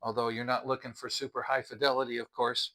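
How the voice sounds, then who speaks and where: A man's voice: mid-range voice, flat delivery, fast, sharp tone. A middle-aged or older man giving a casual product review, explaining things in a plainspoken, methodical way.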